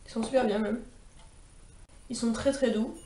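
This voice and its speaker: A woman's voice: fairly high pitch, steady tone, normal speed, dark tone, roomy sound. A young woman with a cold and a stuffy nose, chatting her way through a makeup tutorial with many fillers and restarts. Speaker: beauty vlogger.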